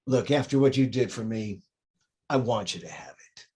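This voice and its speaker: A man playing a troubled, gentle priest in a low-key way for a screenplay table read. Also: animated, normal speed, natural voice. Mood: subdued, sincere. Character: troubled, subdued male priest, gentle and calming.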